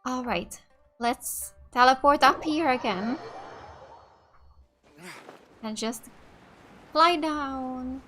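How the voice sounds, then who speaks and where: High, bright-voiced woman streaming a game. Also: drawn-out words, pauses often. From Finland. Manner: chatty, giggly. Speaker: gaming streamer. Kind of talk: casual let's play commentary. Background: game music.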